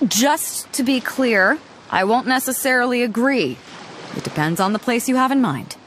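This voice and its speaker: A woman's voice: a little high, lively intonation, normal speed, fairly bright tone, a little hiss or hum. An actress voicing a bold, teasing, confident female ship captain in a game cutscene. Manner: teasing, self-assured, laughs easily.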